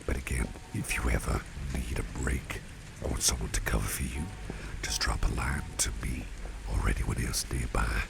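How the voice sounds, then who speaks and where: A man playing a kindly, genteel boss in an ASMR roleplay, speaking in an unhurried, breathy half-whisper with a gravelly depth. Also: rumbling low, steady tone, very noisy, heavily acted. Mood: caring, encouraging. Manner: genteel, unhurried, repeats phrases for emphasis. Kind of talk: ASMR roleplay, soft-spoken personal attention.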